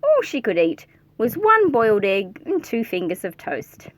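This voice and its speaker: Animated, high-voiced woman reading to children. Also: measured pace, dry recording. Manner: animated, playful, addressing young listeners directly. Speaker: read-aloud host reading a picture book to children. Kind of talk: expressive children's storybook read-aloud with chatty asides and questions to listeners.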